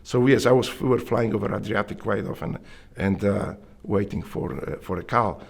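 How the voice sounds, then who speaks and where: A man with a Polish accent and a strongly gravelly, husky voice that sits fairly low. He answers interview questions earnestly, pausing and restarting as he searches for the words. He sounds middle-aged. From Poland. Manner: earnest, halting with restarts and fillers. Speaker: interviewee, Navy SEAL veteran. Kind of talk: interview storytelling.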